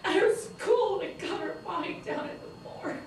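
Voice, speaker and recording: An actress reading the parts of a frantic schoolgirl and a mother whose daughter was shot, at a table read. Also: normal speed. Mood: hysterical. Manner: shrill, panicked outbursts. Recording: echoey room from far off with noise.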